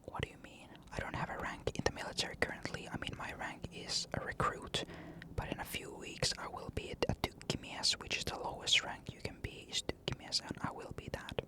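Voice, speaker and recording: A young man from Finland with a Finnish accent, answering comments in a casual, matter-of-fact ASMR whisper. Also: a little fast. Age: young adult. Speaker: ASMR creator. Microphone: USB condenser mic.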